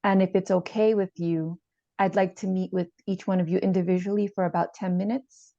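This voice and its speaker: Woman acting as female therapist, mellow, low-pitched, calm, reassuring, hesitant, echoey room. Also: fairly quick, vocal fry. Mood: patient, encouraging. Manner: reassuring, hesitant, repeats gently. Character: calm, supportive, gentle female family therapist. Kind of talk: improvised role-play of a therapy session. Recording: echoey room, slightly dull sound.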